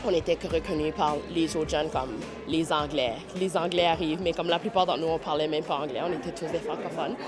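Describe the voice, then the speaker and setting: Man, slightly high voice, brisk memories, loud music and crowd outside. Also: fairly even intonation. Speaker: interviewee. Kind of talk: recounting memories.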